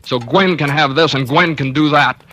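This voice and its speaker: An actor playing a weary, trapped doctor who is also the narrator, in a 1940s radio drama. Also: male voice, moderate pace, pushed and bright. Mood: resentful. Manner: bitter, low and confiding. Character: weary trapped middle-aged male doctor. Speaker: narrator and lead character. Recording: old radio broadcast with faint hiss.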